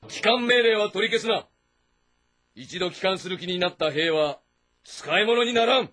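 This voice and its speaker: An actor playing an imperious, hot-tempered warlord of ancient China, barking with contempt in a historical drama. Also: male voice, high-pitched, lively intonation, normal speed, bright, forceful tone, soft background music, exaggerated voice. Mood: bitter, stern. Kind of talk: Japanese historical audio drama acting.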